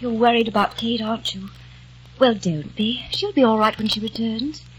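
A woman's voice: fairly high pitch, moderate pace, husky, poor audio. An actress playing an adoring young woman in an old radio drama, speaking eager and pleading. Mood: entranced. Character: young woman, devoted dreamy sister. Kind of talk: 1940s radio acting.

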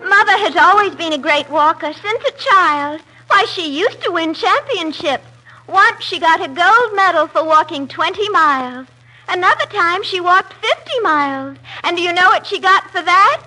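A woman's voice: extremely high pitch, lively intonation, normal speed, pushed and bright, soft background music, rough recording. A woman playing coy, flirty comedy scenes as a guest actress on a 1940s radio show.

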